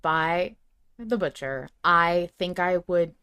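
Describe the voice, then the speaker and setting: A woman co-hosting a fan podcast, chatting casually and reading out emails in an animated, emphatic way. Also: fairly low pitch, takes it slowly, clean tone. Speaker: podcast co-host.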